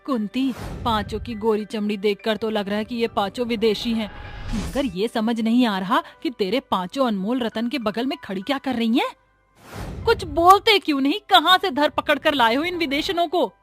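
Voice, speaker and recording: A scolding village mother-in-law, acted by a woman, very high in pitch. She complains dramatically in folksy cartoon style, with music underneath. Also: normal speed, a bit bright, lightly acted. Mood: exasperated. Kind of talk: Hindi animated family comedy story.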